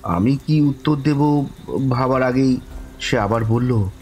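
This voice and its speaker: Guy narrating a ghost story, mid voice, dramatic suspense pauses, bit of background ambience. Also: mid-range voice, darkish tone, slight background noise. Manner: suspenseful, pausing between short phrases. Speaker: narrator of a horror audio story. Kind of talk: dramatic first-person scripted narration.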